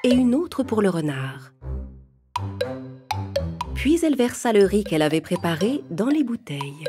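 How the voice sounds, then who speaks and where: A woman's voice: medium pitch, big pitch swings, measured pace, music in the background. A woman narrating a children's story in a scripted, carefully phrased storytelling style.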